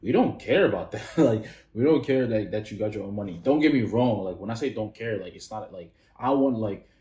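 A man's voice: fairly low pitch, fairly quick, reverberant. A man in his thirties hosting a reaction video, talking casually and full of "like" fillers. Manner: rambling, many "like" fillers, animated when disagreeing. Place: small indoor room.

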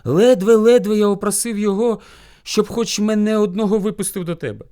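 A man voicing a small, sly hare in a folk tale, servile, meek and flattering as he pleads with his lord. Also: sits high, brisk, voice pushed up, close to an everyday voice. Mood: wheedling. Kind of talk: animated folk tale storytelling.

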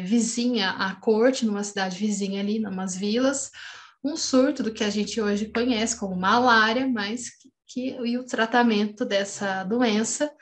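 A woman in her thirties with a Brazilian accent giving an online academic lecture over a video call, talking with frequent restarts and fillers. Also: on the high side. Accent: Brazilian Portuguese. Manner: hesitant, many restarts and fillers. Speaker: postdoctoral researcher lecturing. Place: home room, seen on webcam. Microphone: video call webcam.

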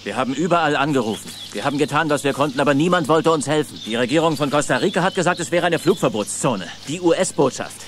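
A man's voice: on the high side, expressive, fast, hardly any pauses, soft background music, natural voice. Flustered, earnest father played by a man. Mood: pleading, defensive. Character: anxious, evasive, apologetic father searching for his missing son. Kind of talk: German audio drama acting.

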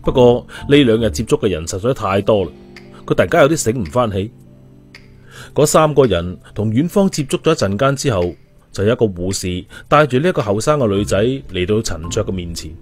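A man telling a story as an expressive audiobook narrator. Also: on the low side, fairly quick. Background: music.

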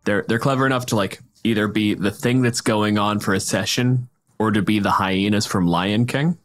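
A man's voice: lowish voice, steady tone, moderate pace. A man in a casual panel discussion about tabletop games, explaining things at length in vivid descriptions. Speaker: podcast panelist.

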